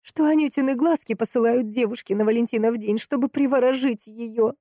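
A woman's voice: a little high, expressive, warm tone, no room sound, studio quality, pitched above the performer's own voice, natural voice. A woman voicing a hot-tempered, grieving sister in a crime audiobook, emphatic and impatient. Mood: indignant. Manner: emphatic, impatient, drawn-out stresses.

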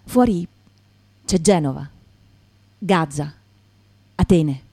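A woman performing a dramatic poetry recitation for radio theatre, in measured, short, separated phrases. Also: low voice, warm tone, slightly rough, no echo. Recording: voice over acoustic guitar music. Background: acoustic guitar.

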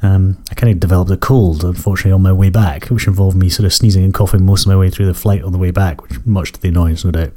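Croaky low-voiced Scottish man. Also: fairly even intonation, quick pace, few pauses, dark tone. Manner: rambling, self-correcting, apologetic. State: croaky from a cold and cough. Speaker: podcast host. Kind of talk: casual radio-style chat between tracks.

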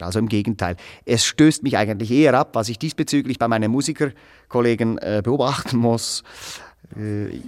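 An actor in a German radio play playing a pompous, coldly moralizing executioner who lectures in a stilted, pedantic way. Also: male voice, mid-range voice, long reverb tail, close to an everyday voice. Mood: self-important, hesitant.

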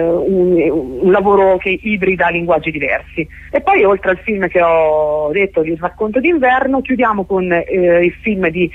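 Woman on a narrow phone line. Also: fairly low pitch. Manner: hesitant, searching for words, long-winded. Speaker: festival organiser interviewed as guest. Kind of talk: live radio interview, explanatory. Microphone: telephone. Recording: narrowband telephone line on radio.

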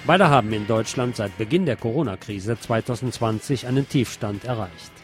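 A man's voice: fairly low pitch, normal speed, loud music behind the voice. A man hosting a radio show, reading a news commentary aloud. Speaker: radio host.

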